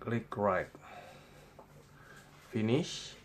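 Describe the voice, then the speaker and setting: An Indonesian man presenting a step-by-step software tutorial in a plain, instructional way, pausing between short phrases. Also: lowish voice, slight background noise.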